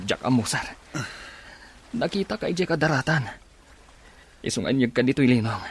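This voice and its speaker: A man with an Ilocano accent playing a confused but helpful shipwreck survivor in a radio drama, speaking haltingly with gasps. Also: medium pitch, animated, breathy edge. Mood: urgent concern. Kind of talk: Filipino radio drama acting.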